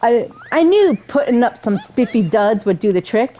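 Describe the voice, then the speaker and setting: A woman's voice: a little high, expressive, moderate pace, mellow, lightly acted. A woman voicing a peppy male cartoon rabbit who talks in exaggerated, chirpy old-timey slang in a video game. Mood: cheerful, eager.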